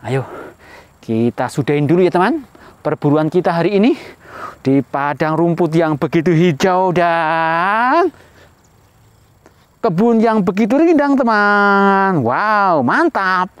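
A man narrates a toy hunt for young viewers in a high, lively voice. His pitch swings widely as he exclaims and asks playful questions. The sound is dry, with no room echo. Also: slow, long pauses, clean tone. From Indonesia. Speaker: host of a children's toy-hunt video channel.

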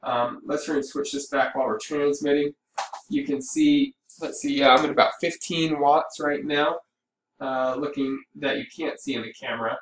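A man giving a casual, explanatory software walkthrough as a hobby radio operator, thinking aloud as he goes. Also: fairly high pitch, fairly even intonation, moderate pace. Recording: roomy, distant mic with background noise.

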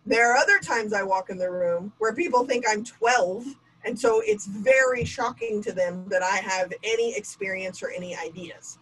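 Lively woman in her forties teaching online. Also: mid-range voice, moderate pace, few pauses, slight background noise, rough recording. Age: 40s. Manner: lively, candid, self-mocking asides. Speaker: diversity trainer. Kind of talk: webinar lecture. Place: home room with bookshelf and bokeh lights. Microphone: webcam video call.

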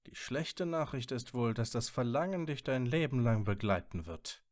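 A man's voice: medium pitch, expressive, moderate pace, dry recording, clean studio sound, lightly acted. A man acting a stern, guarded, tormented wizard in a fan audio drama, with a curt, sardonic manner. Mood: sober, matter-of-fact.

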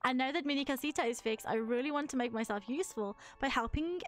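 A woman's voice: sits high, quick pace, lightly acted. Earnest young villager, read by a woman.

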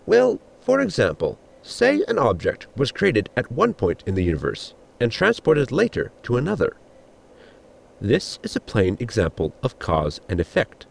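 An actor playing a polite, literal-minded ship's assistant with a gravelly, husky voice, explaining formally in a sci-fi audio comedy. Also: male voice, medium pitch, huge pitch swings, normal speed, heavily acted. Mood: explanatory. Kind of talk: sitcom audio drama acting.

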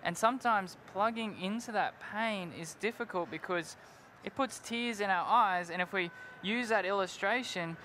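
A man's voice: high voice, moderate pace, few pauses, sharp tone. Young guy cohost, headset mic, big hall, upbeat earnest bible talk, a little noise behind. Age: young adult. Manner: earnest, upbeat, drops in "like" and "right?". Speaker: co-host of a youth Bible panel. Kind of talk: live devotional discussion. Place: large indoor convention hall stage with crowd.

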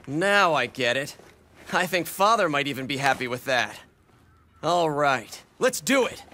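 An actor playing a cocky, hot-headed young warlord of ancient China in a dramatic video game dub. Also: male voice, on the high side, sing-song, unhurried, pushed and bright, exaggerated voice. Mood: eager. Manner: informal, cocky, eager.

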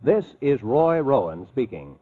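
A man's voice: medium pitch, very animated, unhurried, music in the background. An American man reading scripted announcements as a mid-century network radio announcer. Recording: narrowband vintage radio broadcast.

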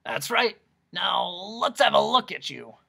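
A narrator voicing an eccentric male doctor of noise in a storybook, with a hoarse, raspy croak and a loud, gleeful, badgering manner. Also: medium pitch, big pitch swings, unhurried, voice pushed up, full character voice. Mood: bossy, welcoming. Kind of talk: children's audiobook character voice.

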